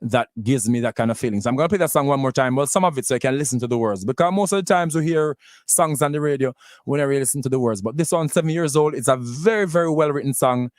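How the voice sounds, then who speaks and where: Male radio host, mid-pitch, halting with fillers, dry close studio sound. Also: no room sound. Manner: halting, many restarts and fillers. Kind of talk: casual radio talk between songs.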